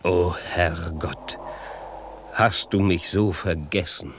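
A man's voice: low voice, slow pace, noisy background. A man playing a solemn, pious crusader knight in a rhymed fairy-tale radio play, declaiming in old-fashioned diction. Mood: despairing, weary.

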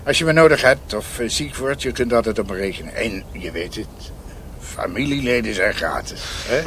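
An actor playing a genial, frank country doctor and uncle in a Dutch radio drama, conversational and avuncular. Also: male voice, mid-range voice, expressive, normal speed, a bit bright, a little hiss or hum, lightly acted. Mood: hearty.

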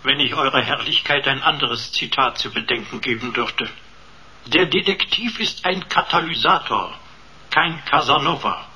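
An actor voicing a servile, pedantic computer assistant with dry wit and flowery formality in a radio sci-fi drama. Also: male voice, mid-range voice, unhurried, bright, forceful tone, rough recording. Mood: pompously teasing.

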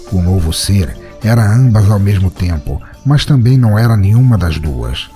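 A man hosting a podcast and narrating a story in a measured, dramatic storytelling manner. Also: fairly low pitch, warm tone.

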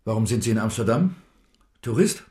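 An actor playing a formal police inspector who asks clipped, businesslike questions. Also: male voice, lowish voice, lively intonation, a little fast, warm tone, lightly acted.

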